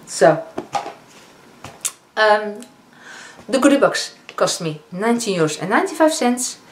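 A woman in her fifties with a Dutch accent, chatting casually as a beauty vlogger reviewing products, often pausing on fillers and correcting herself. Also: mid-range voice, big pitch swings. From Netherlands. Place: living room, indoors. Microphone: camera in front of a seated speaker. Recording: echoey room with faint hiss.

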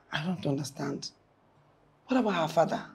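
A woman's voice: low voice, a little slow, gravelly, darkish tone, breathy edge, full character voice. A woman acting a middle-aged wife and mother who pleads guiltily and stammers, repeating herself, with noise behind her. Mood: anxious. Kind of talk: melodramatic screen acting.

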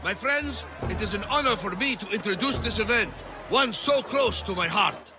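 A man's voice: high-pitched, expressive, moderate pace, music in the background. A man playing a proud, grand announcer at ancient games in a video game, declaiming and projecting. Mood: proud, rousing. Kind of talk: video game cutscene acting, public announcement.

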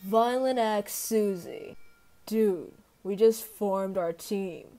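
A woman plays a gruff teenage girl monster, the bully of a video game. Her voice sits at a middling pitch and drags slowly through slangy, sarcastic lines. She sounds tough and sullen, with music playing underneath. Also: warm tone. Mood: cocky, mocking. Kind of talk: amateur video game character voice demo.

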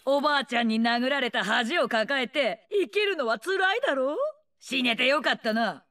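An actress voicing a brash, bloodthirsty older female warlord in a video game, with rough slangy taunts, a snarling delivery and mocking, gleeful menace. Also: high-pitched, moderate pace, fairly bright tone. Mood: scornful taunting. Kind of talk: video game character voice lines.

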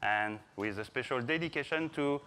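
A man's voice: mid-range voice, moderate pace, sharp tone, clear, resonant tone. A man in his thirties with a French accent, giving a playful, informal conference talk to an audience. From France. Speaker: conference speaker, software developer. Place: large conference hall stage, indoors.

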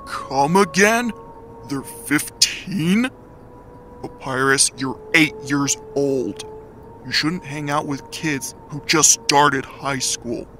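A man voicing a laid-back, protective eighteen-year-old skeleton big brother in a comic dub, with a gravelly voice and casual drawl. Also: fairly high pitch, slow pace, sharp tone, faint music behind. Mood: stern, alarmed. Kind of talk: dramatic comic dub acting.

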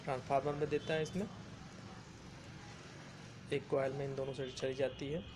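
A man's voice: medium pitch, flat delivery, moderate pace. Man, repair tutorial host, step-by-step explaining, phone mic, workshop, heavy background noise. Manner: explains step by step while pointing at parts. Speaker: electronics repair channel host. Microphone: phone held in one hand.

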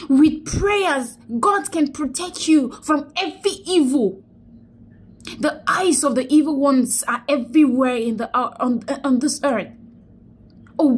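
A young woman with a high voice records herself on a phone held close, indoors. She mourns and exhorts by turns, saying phrases again and again as if she were preaching a sermon. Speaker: vlogger. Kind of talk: emotional selfie vlog, preachy. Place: indoors, small room. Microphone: phone held close to face.

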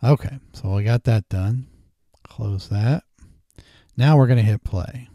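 A man hosting a casual screen-recorded software tutorial, explaining each step informally. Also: lowish voice, a little slow, dry recording, studio quality. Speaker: tutorial host. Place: indoors at a desk.

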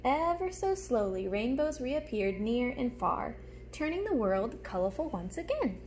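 A young woman with a slightly high voice reads a picture book aloud for children. She is animated and explains things like a teacher. She is recorded across a table in a small room, with noticeable background noise. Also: measured pace. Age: young adult. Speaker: teacher reading aloud to pupils. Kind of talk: storybook read-aloud with teacherly asides. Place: small room at home, indoors.

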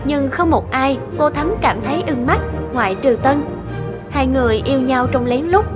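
Female storybook narrator, high voice, gentle telling, music underneath. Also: normal speed. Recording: music bed behind the voice.

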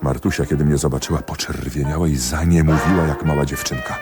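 An actor in a radio drama playing a jealous husband and magistrate, confiding ruefully and emphatically to a friend. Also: male voice, a bass voice, steady tone, fast talker, over loud music. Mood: relieved, sheepish. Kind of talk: theatrical radio drama monologue.

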